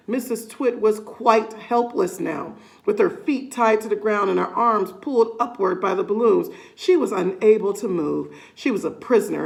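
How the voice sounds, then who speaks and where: A woman storyteller with a creaky edge to her mid-pitched voice. She reads a children's book expressively and deliberately, leaning on key words. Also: darkish tone. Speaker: read-aloud storyteller. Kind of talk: expressive children's story reading with casual asides.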